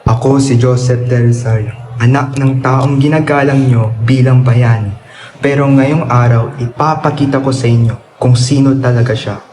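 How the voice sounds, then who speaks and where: A man's voice: medium pitch, steady tone, moderate pace, darkish tone, close to an everyday voice. A man acting a defiant, grieving son exposing his corrupt father in a solemn, declamatory Filipino radio drama style. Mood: accusing.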